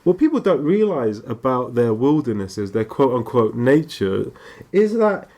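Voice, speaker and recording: A man's voice: medium pitch, measured pace. A man with an English accent, a guest on a podcast, chatting casually and joking as he explains. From Britain. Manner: lively joking storyteller, laughs often. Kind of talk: casual chat.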